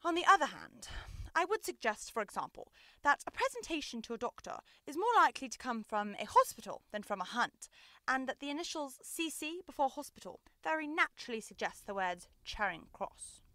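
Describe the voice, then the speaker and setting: Young woman as self-assured gentleman detective, highish pitch, theatrical delivery, radio play, dry close sound. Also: very animated, fairly quick, no echo, full character voice. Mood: confident, instructive. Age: young adult. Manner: theatrical, declamatory, precise. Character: brilliant, self-assured Victorian male detective. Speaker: student actor. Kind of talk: 1930s-style radio drama acting.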